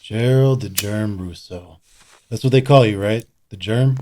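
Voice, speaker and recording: Actor as a hard-boiled detective, noisy recording. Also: male voice, on the low side, a little slow, natural voice. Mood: sardonic, commanding. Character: hard-boiled, sardonic male police detective. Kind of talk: audio drama acting. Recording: clear background noise and clicks.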